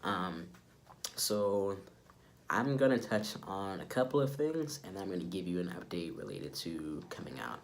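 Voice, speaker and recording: A young man with a low-pitched voice talks casually to viewers in a personal vlog. He sounds sick and stuffed up, with a nasal congestion and a subdued energy. There is noticeable noise in the recording. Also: very animated, a little slow. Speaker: vlogger. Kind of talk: casual update vlog talk to camera.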